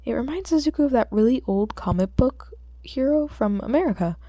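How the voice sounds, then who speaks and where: Female hobby audiobook reader, relaxed dark tone, sore throat, informal delivery, close dry sound. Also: fairly high pitch, fairly quick, dry recording. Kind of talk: story narration and casual chat with listeners.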